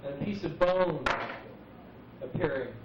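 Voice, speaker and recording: A man in his forties performs a reflective spoken-word piece at a live open mic, letting pauses hang. He is heard from a distance in a roomy space, on a muffled old tape. His delivery is unhurried and thoughtful. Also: fairly high pitch. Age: 40s. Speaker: poet speaking at an open mic. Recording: muffled noisy VHS recording, echoey, distant.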